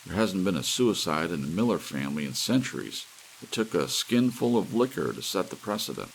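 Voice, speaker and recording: A man's voice: deep voice, lively intonation, a little fast, vocal fry, a little hiss or hum, voice pushed up, low-quality recording. A man voicing a bewildered, humble young druggist in a storybook, hesitant and sheepish. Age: young adult. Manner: faltering, sheepish.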